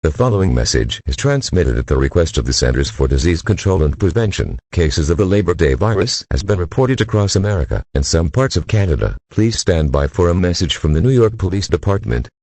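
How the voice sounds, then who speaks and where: A man's voice: low voice, big pitch swings, brisk, few pauses, a little mellow, breathy edge, no room sound, heavily acted. A synthetic male voice playing an impersonal, official emergency alert announcer, formal in delivery. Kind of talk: scripted emergency broadcast announcement.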